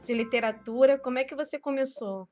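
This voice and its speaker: A woman with a higher voice hosts a casual online chat. She nudges her guest along with friendly questions. Faint music plays underneath. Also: a little fast, darkish tone. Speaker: host. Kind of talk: casual interview hosting.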